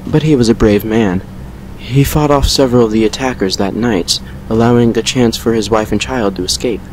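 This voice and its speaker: Guy acting as a brooding vampire telling his sad past, low voice, measured, audio drama, noisy recording. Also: a little mellow, close to an everyday voice. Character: brooding male vampire recounting a painful past with regret. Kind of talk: fan fiction audio drama monologue.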